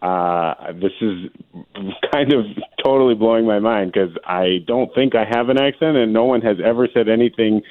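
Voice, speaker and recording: A man's voice: lowish voice, moderate pace. A man on the phone with a radio show, sounding baffled but laid-back. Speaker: caller. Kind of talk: phone conversation. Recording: tinny phone line.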